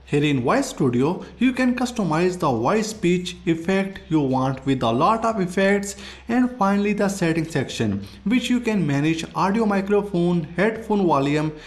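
A man's voice: high-pitched, animated, normal speed. A man hosting a tech review, reading scripted tutorial narration.

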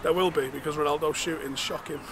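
A man's voice: fairly high pitch, fairly even intonation, fast talker, a crowd in the background. A man, speaking emphatically and bluntly as a football coach. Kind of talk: team talk and interview, swearing. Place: changing room and outdoor pitch. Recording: clear background noise.

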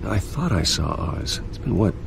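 A man's voice: sits low, expressive, moderate pace, vocal fry, noisy background, heavily acted. An actor playing a serious, guarded American man in his thirties in a video game, terse and understated. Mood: pensive, wary.

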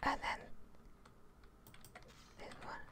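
A young woman giving whispered, breathy ASMR gameplay commentary up close to a studio microphone. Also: extremely slow, pushed and bright. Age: young adult. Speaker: gaming streamer. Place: indoors at a desk, close up. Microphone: large studio microphone up close, headset worn.

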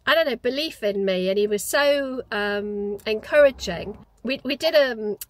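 A woman's voice: on the high side, a little slow. Chatty Geordie woman in her fifties. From North East England. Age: 50s. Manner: chatty, reflective, with frequent restarts. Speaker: vlogger. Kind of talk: casual personal storytelling. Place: parked car. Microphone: lapel mic.

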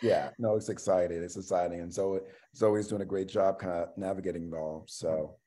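A man's voice: low-pitched, steady tone, fairly quick, mellow. A middle-aged man explaining casually on a video call, searching for words and often checking that he is followed. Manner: searching, checks in with "right?" and "you know". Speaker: actor, panel guest. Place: indoor room on a video call.

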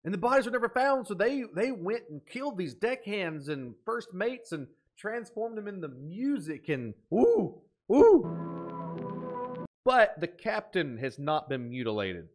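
A man in his thirties giving off-the-cuff reaction commentary, thinking aloud in an excitable but musing way. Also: sits high, a little slow. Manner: excitable, exclaiming, dropping to musing asides. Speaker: host of a reaction video. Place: small indoor room at a desk.

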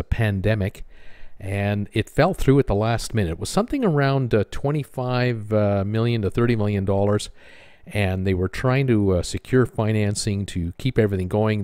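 A man with a North American accent, hosting an informal news commentary video in a halting, hesitant way with frequent pauses. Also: fairly low pitch, a little mellow, no room sound, clean studio sound. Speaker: host of a cruise news channel.

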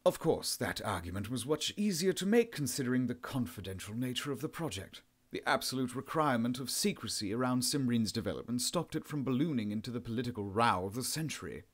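A man's voice: medium pitch, very animated, fairly quick, hardly any pauses. Man putting on a posh Southern English governor. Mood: measured, assured. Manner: pompous, posh, courteous. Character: posh, amiable late middle-aged English male governor.

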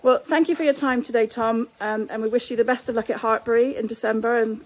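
A woman's voice: on the high side, fairly even intonation, fast talker, rough recording. A woman interviewer asking long, enthusiastic, leading questions in a chatty way outdoors.

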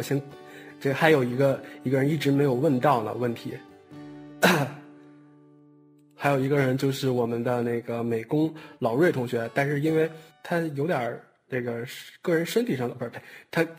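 A man chats casually with fellow cast members in a hesitant way, often doubling back on phrases. His voice sits at a middle pitch, with faint guitar music playing behind it. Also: a little mellow. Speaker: voice actor at a cast chat, later reading questions. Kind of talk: casual online cast chat.

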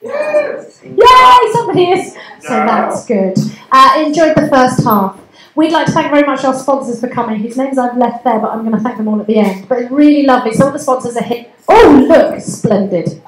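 A woman's voice: sits high, animated, moderate pace, fairly bright tone. An English woman acting as MC of a comedy night, chatty and bubbly, teasing the crowd. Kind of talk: live emcee banter. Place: community centre hall, live audience. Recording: echoey hall with audible noise.

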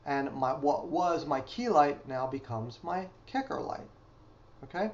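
A man's voice: fairly high pitch, expressive, unhurried, lo-fi sound. A man narrating a photography lighting lesson over still images, explaining step by step and often checking in with "okay?". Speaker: photography instructor. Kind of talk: instructional voice-over.

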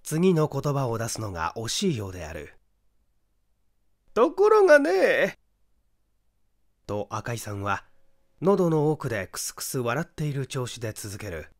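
A man reading scripted story narration in a restrained, measured way. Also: medium pitch, sing-song. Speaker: audiobook reader, stage actor.

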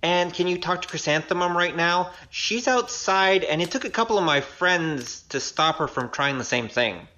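Woman playing a lively, glib young rogue. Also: fairly low pitch, normal speed, few pauses, highs cut off, slight background noise. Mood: wry, exasperated. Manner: wry, hesitant patter. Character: glib young male rogue gambler, wry and evasive. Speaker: voice actor in audio drama. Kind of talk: audio drama acting.

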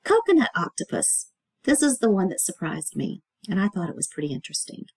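Animated, slightly husky woman teaching young children. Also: mid-range voice, a little fast, dry recording. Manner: explanatory, emphatic on key words, asks the listener questions. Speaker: teacher reading a children's book aloud. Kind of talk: read-aloud lesson for young children.

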